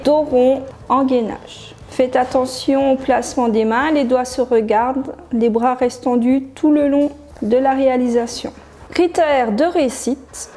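A woman in her thirties, a gym coach, giving clear step-by-step exercise instructions in a living room. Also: high-pitched, warm tone, slight background noise.

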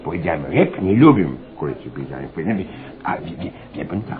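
An actor playing a blustery, greedy school inspector and old-soldier braggart in a satirical radio comedy, stammering and repeating himself. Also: male voice, extremely low pitch, big pitch swings, fast. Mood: scheming, excitable. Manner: stammering, repetitive, erratic, exclaiming. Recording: dull narrow-band radio recording, audience behind.

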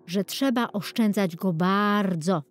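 Female storyteller for children's audiobook, slow and expressive, mid-pitched, music underneath. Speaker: audiobook narrator. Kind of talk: scripted children's storybook narration.

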